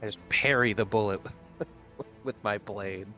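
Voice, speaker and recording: A man's voice: on the low side, a little slow, pushed and bright, soft background music. A man playing a tabletop game, tossing in joking reactions and casual banter.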